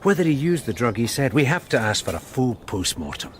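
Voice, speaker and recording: An actor playing a dogged, deferential detective sergeant in a radio drama, deliberate and wry. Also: male voice, medium pitch, very animated, slight background noise, close to an everyday voice.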